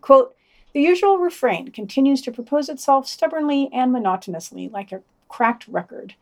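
A woman's voice: high voice, expressive, moderate pace. Dry, sardonic woman reading podcast news. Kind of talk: scripted news reading with wry opinionated asides.

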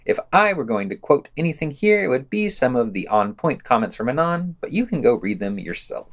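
Man, mid-pitched, highly animated intonation, sardonic, scripted commentary, podcast host. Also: brisk. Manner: sardonic, dismissive. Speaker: podcast host reading a news roundup. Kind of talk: scripted review commentary, read aloud.

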